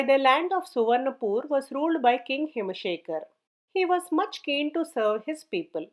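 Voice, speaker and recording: A woman storyteller reading a scripted folk tale as narration. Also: high voice.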